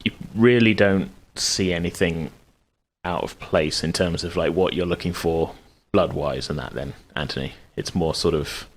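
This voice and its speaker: A man with a notably low-pitched voice runs a tabletop game. He describes things conversationally, circling around his explanations with some hesitation. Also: normal speed. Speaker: game master.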